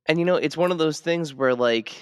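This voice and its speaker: A man's voice: a little high, brisk, clear, resonant tone, no room sound, studio quality, long reverb tail. A man co-hosting a podcast, chatting casually. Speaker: podcast co-host. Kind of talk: casual chat.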